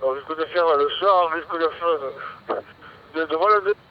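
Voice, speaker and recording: A man's voice: fairly high pitch, normal speed. A man chatting casually over amateur radio, rambling and repeating himself as he goes. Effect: voice heard over radio. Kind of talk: casual radio contact chat. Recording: dull radio link, faint noise.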